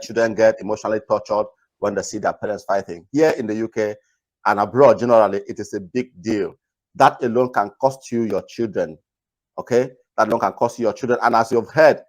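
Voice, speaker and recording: A man from Nigeria hosting a talk video, earnestly advising viewers in a naturally loud voice and repeating his points. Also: on the low side, low-quality recording. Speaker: host. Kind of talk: casual commentary to camera.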